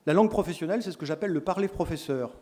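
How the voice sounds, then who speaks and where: A middle-aged man lecturing teacher tutors, explaining points with lively emphasis and quick self-corrections. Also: fairly high pitch. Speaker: lecturer in education sciences.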